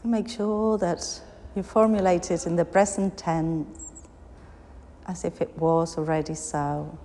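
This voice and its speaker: A woman with a slightly low voice leads a guided meditation very slowly. She gives soothing instructions in short phrases, leaving long pauses between them. The recording has a long, hall-like reverb. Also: flat delivery, dark tone, slight background noise. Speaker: yoga nidra meditation guide.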